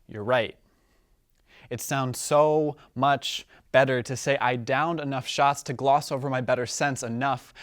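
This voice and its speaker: A man's voice: mid-range voice, no echo, clean studio sound. Male slam poet, slightly distant mic. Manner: emphatic, rhythmic delivery with deliberate pauses and repetition. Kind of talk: performed slam poetry recitation.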